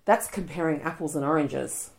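A woman's voice: sits low, normal speed, vocal fry, darkish tone. A middle-aged woman, co-hosting a podcast in blunt, playful chat with plenty of swearing. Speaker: podcast co-host. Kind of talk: casual chat.

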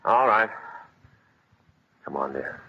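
A man's voice: fairly low pitch, very animated, slow pace, creaky, breathy edge, full character voice. An actor playing an earnest, anxious man in 1940s radio drama, speaking with urgency. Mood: anxious, soothing. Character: earnest, anxious man consoling his companion. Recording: muffled, hissy old radio recording.

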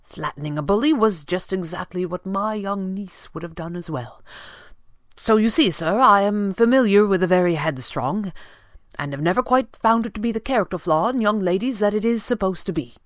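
A woman's voice: mid-range voice, normal speed, dry recording, studio quality, pitched above the performer's own voice, lightly acted. A woman voicing an older male butler, deferential, formal and fussily polite in an audiobook story. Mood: earnest, dignified.

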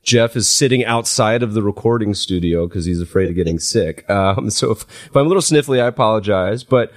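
A man's voice: on the low side, fairly quick, no echo, clean studio sound. A man hosting an interview podcast, chatting casually and a little stuffy from a cold. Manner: relaxed, chatty, with fillers and restarts. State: slightly congested with a cold. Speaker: podcast host.

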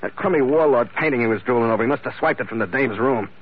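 A man's voice: medium pitch, quick pace, husky, close to an everyday voice. Man playing hard-boiled American skipper, muffled recording. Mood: grim. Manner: hard-boiled, sardonic, slangy. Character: tough, wisecracking boat skipper, cynical and irritated. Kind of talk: old-time radio drama acting. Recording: muffled, noisy old radio recording.

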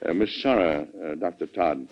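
An actor playing a resolute middle-aged American president with an emphatic, authoritative delivery in an old-time radio drama. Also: male voice, deep voice, moderate pace, vocal fry. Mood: composed, considerate. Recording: dull, lo-fi vintage radio recording.

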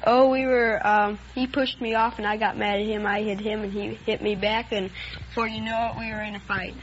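Teenage male contestant, earnest and plain-spoken, bright forceful voice, muffled old broadcast sound. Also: high-pitched, level tone, brisk. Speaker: quiz contestant. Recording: dull vintage radio recording, faint noise.

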